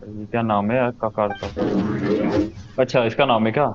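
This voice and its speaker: A man's voice: on the low side, steady tone, rapid-fire. An Indian-accented man giving casual commentary as a gaming streamer. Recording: muffled mic with game music behind.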